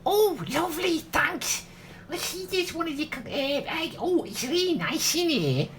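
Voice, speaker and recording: A woman's voice: very high voice, lively intonation, unhurried, a little hiss or hum. A woman playing a blunt, gossipy Liverpudlian holidaymaker with a Scouse accent in a comedy radio play. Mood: friendly, chatty. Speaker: actor.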